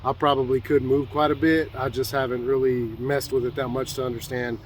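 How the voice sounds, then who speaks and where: A man's voice: mid-range voice, flat delivery, a little fast. Guy w a sinus cold, folksy and rambly, filming himself outdoors, bit of background noise. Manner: rambling, folksy, many fillers. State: congested from a sinus infection. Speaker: fishing vlogger. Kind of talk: casual gear walkthrough.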